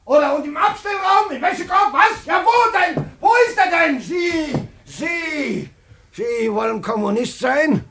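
A man's voice: very high-pitched, lively intonation, unhurried, creaky, a bit bright, heavily acted. An actor playing an irate, indignant middle-aged father who blusters and accuses in a radio drama. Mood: furious. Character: irate, indignant household head, father of a grown son.

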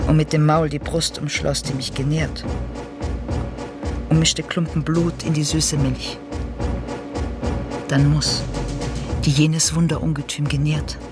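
Man acting a brooding greek tragedy guy, fractured self, highish voice, halting radio play monologue, music under it. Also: lightly acted. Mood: grave, menacing. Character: brooding, fractured figure of Greek tragedy, confused about identity. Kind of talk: theatrical monologue in a radio drama.

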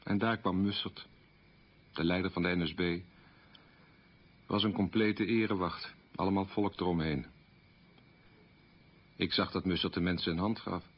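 A man's voice: sits low, fairly even intonation, normal speed, pauses often, husky. An actor playing a troubled middle-aged man who candidly recalls his wartime boyhood in a plain, anecdotal radio play monologue. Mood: reminiscing. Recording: muffled old radio recording.

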